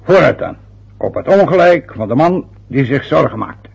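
A gravelly, teasing old father. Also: mid-range voice, lively intonation, moderate pace, dull audio. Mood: jovial. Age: older. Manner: bantering, nosy, chuckles often. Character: jovial, teasing older father who loves cigars. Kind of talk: radio play acting.

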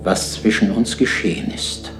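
A tormented male ghost, played by a man in an old radio play. He speaks very slowly in a lamenting, solemn warning. The low voice slips toward whispering and rings in heavy echo. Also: music in the background, lightly acted. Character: tormented ghost of a dead miser, remorseful, warning. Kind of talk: 1960s German radio drama acting. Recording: echoey 1960s radio play recording.

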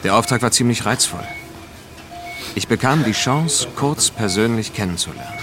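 An actor playing a world-weary, sardonic male expedition veteran in a radio drama, speaking and narrating in a dry, deliberate manner. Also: medium pitch, expressive, close to an everyday voice. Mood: reflective. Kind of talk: radio drama dialogue and first-person noir narration.

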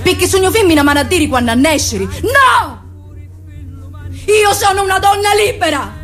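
A woman's voice: very high-pitched, expressive, moderate pace, fairly bright tone, soft background music. An actress playing a defiant young Sicilian woman in a theatrical monologue, speaking Sicilian-accented Italian with intense, confiding emphasis and repetition. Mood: defiant, anguished. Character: defiant young Sicilian woman, passionate, rebelling against honour customs.

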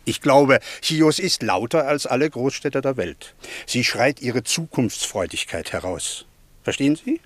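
A man's voice: mid-range voice, animated, unhurried, no room sound. An actor playing an emphatic, opinionated middle-aged Greek townsman in a German radio play, speaking in eloquent, declamatory phrases. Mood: emphatic, impassioned. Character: opinionated Greek townsman, fond of his noisy city.

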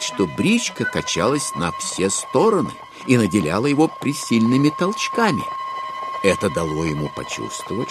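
Male narrator for literary audio drama, lowish and animated, clear musical backing.

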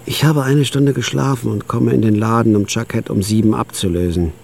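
An actor playing a tired, cynical night clerk who narrates in a dry, wry way in a radio drama. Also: male voice, medium pitch, fairly even intonation, a little fast, creaky, darkish tone, slight background noise, lightly acted. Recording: music bed under the voice.

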